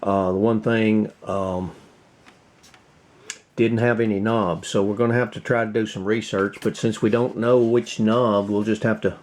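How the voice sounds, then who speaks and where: A man giving a casual hands-on demonstration as a video host, thinking aloud in short, halting phrases. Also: lowish voice, flat delivery. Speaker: host of a radio repair video.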